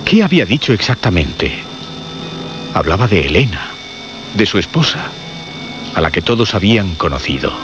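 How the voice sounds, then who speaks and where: An actor narrating a radio suspense drama in a grave, measured, dramatic way. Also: male voice, sits low, sing-song, background noise. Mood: grave, suspenseful. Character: grave male narrator of a horror tale. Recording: muffled radio drama recording.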